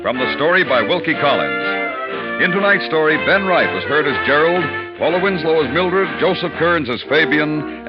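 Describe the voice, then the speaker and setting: Man, radio announcer, formal, deliberate, dramatic, muffled old recording, loud music behind. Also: fairly high pitch. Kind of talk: 1950s radio announcing, scripted.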